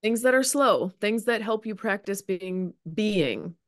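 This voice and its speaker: Patient woman co-hosting a podcast, walks through ideas calmly, mid pitch, very dry close sound. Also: a little slow, no room sound. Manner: patient, reassuring explainer. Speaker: podcast co-host, Chinese medicine practitioner. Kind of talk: conversational explanation.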